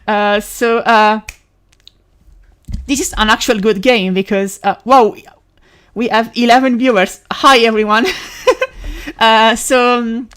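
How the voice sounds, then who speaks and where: A woman's voice: a little high, steady tone, fairly bright tone, smooth. Woman chatting on a game stream. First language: Italian. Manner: rambling, with many fillers, restarts and quick laughs. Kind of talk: casual live-stream chat while gaming.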